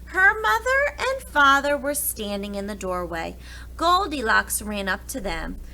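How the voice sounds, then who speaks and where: A woman narrating a children's story aloud for preschoolers, with dramatic and deliberate phrasing. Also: on the high side, sing-song, sharp tone, poor audio.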